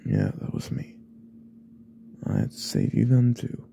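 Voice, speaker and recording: A man playing a possessive, obsessive young classmate in an ASMR roleplay, speaking in a hesitant, breathy half-whisper. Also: medium pitch, wildly swooping pitch, unhurried, slight background noise, heavily acted. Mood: protective, possessive. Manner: hesitant, hushed, probing.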